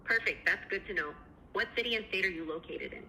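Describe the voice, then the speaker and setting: Lady recruiter, super bright voice, talks fast, polite n polished, slightly noisy call. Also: mid-range voice. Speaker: AI recruiter interviewer. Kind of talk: scripted phone screening interview.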